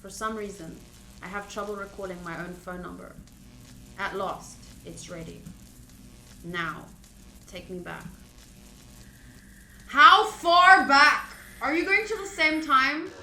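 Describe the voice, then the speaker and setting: A woman streaming a visual novel playthrough, reading the text aloud and chatting with viewers in an expressive way. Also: mid-range voice, slow pace, long pauses, echoey room, background noise. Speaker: game streamer.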